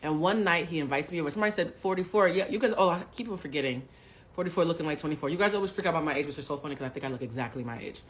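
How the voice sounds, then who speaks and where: A woman in her forties hosting a livestream, telling a personal story bluntly and with plenty of profanity, swinging between hushed asides and loud bursts. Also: deep voice, racing. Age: 40s. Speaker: livestream host. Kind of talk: candid storytelling to a live audience.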